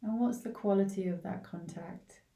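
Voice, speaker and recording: A woman leading a guided body scan meditation in a gentle, unhurried way, leaving long pauses between short phrases. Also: mid-range voice. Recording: roomy, echoing, distant from the mic.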